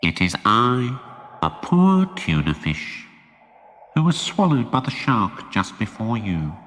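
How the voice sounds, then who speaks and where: A man voicing a gloomy, fatalistic philosopher tuna in a storybook, slow, low and resigned, with a portentous air. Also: fairly bright tone, slight background noise, exaggerated voice. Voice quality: low, doom-laden. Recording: long cavernous reverb.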